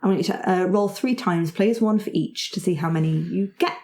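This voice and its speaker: Woman, game master, lowish pitch, encouraging, narrating play, echoey room. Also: fairly quick, darkish tone, slight background noise. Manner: encouraging, affirming players' ideas. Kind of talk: narrating a tabletop roleplaying game.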